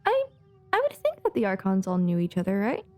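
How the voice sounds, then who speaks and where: A woman's voice: medium pitch, wildly swooping pitch, brisk, a little mellow. A woman giving casual, chatty live commentary as a game streamer, with playful asides. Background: faint game music.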